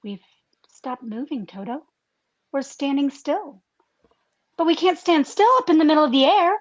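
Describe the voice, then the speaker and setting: A very high, girlish voice as an anxious, wide-eyed farm girl in a radio play. She is earnest and breathless, stumbling and repeating her words. The recording is dull and muffled. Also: very animated. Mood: frightened, breathless. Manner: breathless, halting, repeating phrases. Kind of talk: comedic radio play acting.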